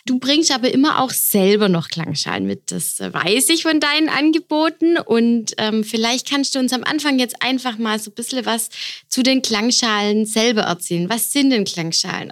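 A woman hosting a podcast interview, asking friendly questions and encouraging her guest. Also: mid-range voice. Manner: warm, encouraging, frequent affirming murmurs. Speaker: podcast host and education officer.

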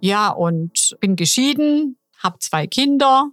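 A woman's voice: high-pitched, animated, slow, faint music behind. A woman in her sixties speaking informally as a podcast guest, in a colloquial, chatty way. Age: 60s. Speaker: podcast guest, office administrator. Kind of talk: casual interview conversation.

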